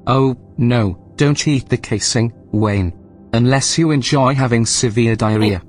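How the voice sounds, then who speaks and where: An actor playing a composed, snide artificial intelligence running a station in a sci-fi audio drama, speaking in a precise, formal, deadpan way. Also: male voice, medium pitch, a little slow, faint music behind, lightly acted. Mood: dryly amused. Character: dry, snide male AI station supervisor, composed.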